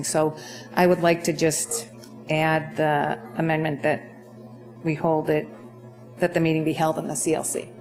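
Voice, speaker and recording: A soft-spoken woman city councilor reading a motion and speaking hesitantly in a council meeting. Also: fairly low pitch. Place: council chamber.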